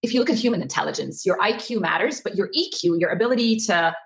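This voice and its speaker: A woman from Egypt talks as an interview guest. She is expressive and conversational, speaking quickly in a mid-pitched voice. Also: gravelly. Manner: animated, expressive. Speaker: interview guest, tech company co-founder.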